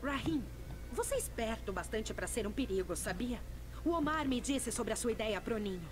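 A woman's voice: a little high, lively intonation, normal speed, lightly acted. A woman voicing a stern scolding older sister. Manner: scolding, sharp.

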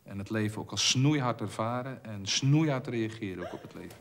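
A middle-aged man, a theatre director, talking thoughtfully and haltingly into a lapel microphone. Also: lowish voice, expressive, slight background noise. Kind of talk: interview talk and rehearsal feedback. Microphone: clip-on lapel microphone.

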